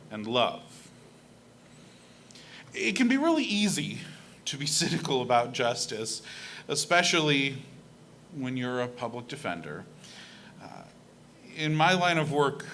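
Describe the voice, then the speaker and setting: A man's voice: mid-range voice, fairly bright tone, slight background noise. A young man giving an earnest, conversational reflection at a church service, speaking into a pulpit microphone. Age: young adult. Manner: earnest, conversational, with fillers and a self-deprecating laugh. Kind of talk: personal reflection talk from a pulpit. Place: church sanctuary.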